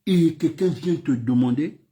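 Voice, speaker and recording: Emphatic high-voiced Gabonese man. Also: measured pace, dark tone. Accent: Gabonese French. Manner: emphatic, repeats phrases, rhetorical questions. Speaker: political commentator. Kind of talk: impassioned political speech.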